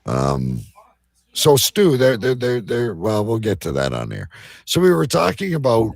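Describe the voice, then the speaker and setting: A man hosting a live show with casual banter and a rough, gravelly voice. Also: on the low side, huge pitch swings, measured pace, darkish tone, no room sound, studio quality. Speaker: host of a live online show.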